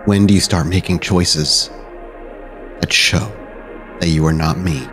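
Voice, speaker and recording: A man's voice: deep voice, fairly even intonation, measured pace, a bit bright, soft background music. A man voicing a dead vampire sire speaking from a mirror in a tabletop roleplay, needling and grandiose, pressing with rhetorical questions. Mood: challenging.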